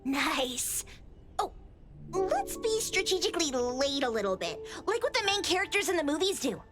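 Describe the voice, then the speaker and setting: Bossy young anime girl, extremely high voice, lively swoops, boastful, playful, game music behind. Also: normal speed. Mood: smug, playful. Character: cocky, excitable young girl who bosses her gang around. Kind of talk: anime-style video game voice acting.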